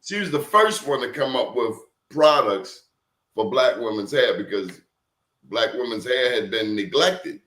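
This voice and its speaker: A man talks in a casual, animated way and drives his points home with emphatic rhetorical questions. His pitch rises and falls a lot as he tells his stories. The room has a clear echo around him. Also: a little slow. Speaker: professor and guest. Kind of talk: casual conversational talk.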